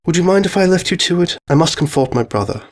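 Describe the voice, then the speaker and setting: A man's voice: fairly high pitch, animated, fast, voice pushed up. A man playing a distraught, indignant young lady. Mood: subdued. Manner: formal, agitated, pleading. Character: distraught, indignant young woman, a grieving daughter.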